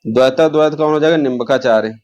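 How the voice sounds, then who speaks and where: A man's voice: medium pitch, fast, darkish tone, dull audio. A man teaching an informal exam lesson, repeating key terms and posing questions as he explains.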